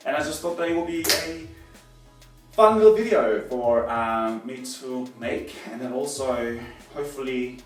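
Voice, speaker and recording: A young Korean-born man talks to his camera in a casual, rambling way, filling gaps with ums and uhs. His voice sits low and lands from a little way off the mic. The room rings with a strong echo, and soft music plays underneath. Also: distant mic. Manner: rambling, self-conscious, many fillers. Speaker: DIY vlogger. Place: echoey room alone with a camera.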